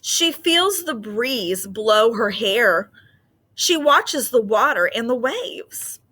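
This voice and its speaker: Bright-voiced woman teacher reading aloud. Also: on the high side, slow. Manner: gentle, patient explaining with frequent "um" fillers; expressive storybook reading. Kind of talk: explaining to children and reading a picture book aloud.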